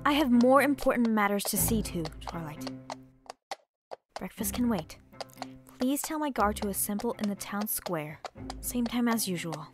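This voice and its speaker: A woman's voice: mid-range voice, lively intonation, normal speed, over music, natural voice. A woman playing a proud, bitter young pony princess in a radio drama, curt and dismissive. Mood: curt, imperious. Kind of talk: fan radio drama acting.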